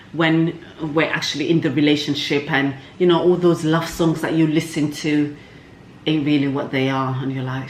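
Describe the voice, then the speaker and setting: Candid woman vlogging in echoey room. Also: low voice. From Somalia. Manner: candid, cheeky, informal slang. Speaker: vlog host. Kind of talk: casual chatty get-to-know-me vlog. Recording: echoey room, faint background noise.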